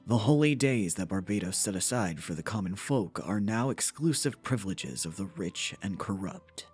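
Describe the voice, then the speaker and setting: A solemn narrator of fantasy legend, voiced by a man, delivers measured, dramatic storytelling. His voice is lowish and husky, with faint music behind the scripted lines. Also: lively intonation, darkish tone. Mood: grave. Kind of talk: scripted narration for a fan dub.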